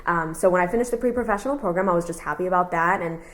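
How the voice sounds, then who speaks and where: A woman in her thirties from Texas, whose first language was Cantonese, recounting her life in an oral history interview, relaxed and rambling with many fillers. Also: lowish voice, rapid-fire. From Houston, Texas. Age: 30s.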